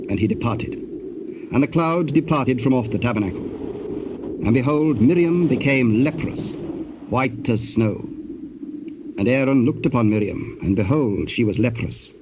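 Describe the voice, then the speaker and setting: A man narrating scripture from a script in a measured, formal and solemn manner. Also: mid-range voice. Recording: dull noisy tape, birds behind.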